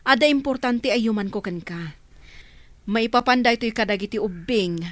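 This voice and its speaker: A woman voices an earnest, caring woman in a radio drama, her voice sitting a little high and sounding close and dry. She asks gently but with concern. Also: normal speed, no echo, close to an everyday voice. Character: caring woman named Flora, recently returned, concerned for orphans.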